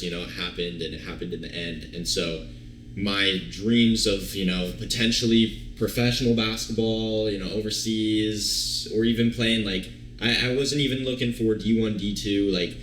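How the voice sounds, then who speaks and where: Deep-voiced young man with a bright edge. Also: slight background noise. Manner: relaxed, rambling, many fillers and restarts. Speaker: guest, online fitness coach. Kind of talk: casual storytelling interview. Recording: roomy echo.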